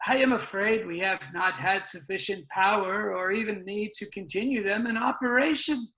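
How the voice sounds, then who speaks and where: A woman playing the dignified, formal president of a dying future Earth in a science fiction dramatic reading, speaking in a measured way. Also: mid-range voice, bright, forceful tone, natural voice, poor audio. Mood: grave, apologetic.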